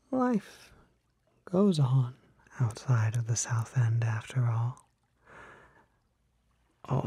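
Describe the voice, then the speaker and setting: Man acting a husky, half-whispering charming kelpie. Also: medium pitch, mellow, no room sound, exaggerated voice. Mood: wistful, saccharine. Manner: halting, coaxing, genteel endearments. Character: unsettling smooth-talking male kelpie, charming, needy, secretly predatory. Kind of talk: ASMR roleplay acting.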